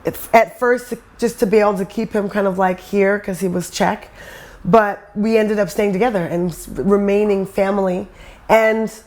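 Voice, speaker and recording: Middle-aged American woman, average pitch, speaking openly as an interviewed performer. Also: fairly even intonation, moderate pace, a little mellow. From suburb outside New York City, United States. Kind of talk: candid personal interview.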